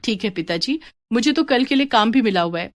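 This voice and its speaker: An earnest young college-age daughter, played by a woman narrator, dutiful and eager to reassure. Her voice sits a little above an ordinary speaking pitch in a very dry, close storybook recording. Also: animated, brisk, no echo, lightly acted. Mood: reassuring.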